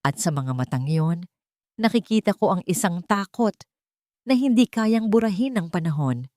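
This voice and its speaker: A woman narrates a scripted first-person dramatic story in a solemn, measured, emotive way. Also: lowish voice, animated, mellow, dry recording.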